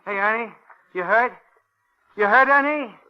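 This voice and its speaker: An actor playing a sadistic, menacing gangster who taunts and needles in a 1950s radio drama. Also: male voice, extremely high pitch, measured pace. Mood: gleeful taunting. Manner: mocking, needling, repeats himself tauntingly. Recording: dull, noisy old radio recording.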